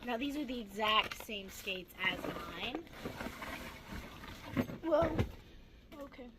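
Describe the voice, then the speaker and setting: A teenage girl with a hoarse, gravelly voice, chatting casually and rambling through a crafting vlog with self-deprecating asides. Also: fairly high pitch, lively intonation, slow, very noisy. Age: teens. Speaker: craft vlogger.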